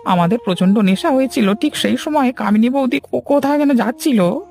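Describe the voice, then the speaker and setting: A man's voice: extremely high pitch, a little fast, creaky, a little mellow. Panicky, stammering village man, acted out by a man. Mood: fearful confessing. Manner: stammering, pleading, whining. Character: panicky guilt-ridden village man begging for his life. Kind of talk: Bengali horror cartoon dubbing. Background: music.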